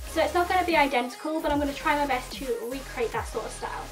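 A woman's voice: sits high, very fast, distant mic, over music. A woman in her thirties with a slightly nasal sore throat, chattily explaining a makeup tutorial step by step to the camera. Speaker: makeup vlogger. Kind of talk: casual tutorial talk to camera. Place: indoor room in front of a red plaid backdrop.

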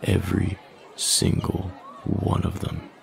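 A man playing a smug, menacing young male bully in an intimate audio roleplay, taunting with slow, deliberate threats in a deep, gravelly voice. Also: flat delivery, a faint crowd, exaggerated voice. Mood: contemptuous. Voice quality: deep, gravelly, breathy at line ends.

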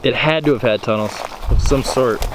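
An American man gives casual, curious commentary while exploring on foot. His voice sits at an average pitch. It is caught on a handheld camera outdoors, with clear background noise. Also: moderate pace. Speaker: host of an exploring vlog. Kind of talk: casual walking commentary to viewers. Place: outdoors in an open overgrown field. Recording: noisy outdoor camera audio.

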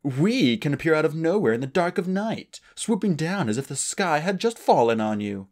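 A man's voice: on the high side, very animated, normal speed, darkish tone, pitched above the performer's own voice. A man voicing a lofty, know-it-all young male dragon who huffs and boasts. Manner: lofty, huffy.

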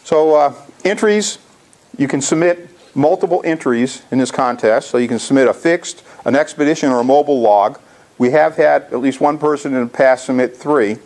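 A man's voice: mid-range voice, moderate pace, darkish tone. An American man in his sixties explaining things casually as he presents slides to a club in a lecture hall. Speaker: contest organizer presenting to a club.

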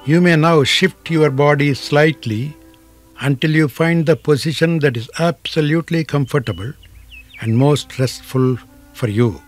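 A man narrating a guided relaxation as a yoga teacher, in measured, deliberate phrases with frequent pauses. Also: a little high, soft background music.

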